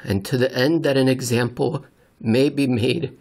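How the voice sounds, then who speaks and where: A man hosting a podcast, reading dramatically and commenting conversationally, with deliberate, theatrical phrasing and pauses for effect. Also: medium pitch, fairly even intonation, a little mellow. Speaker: podcast host and reader.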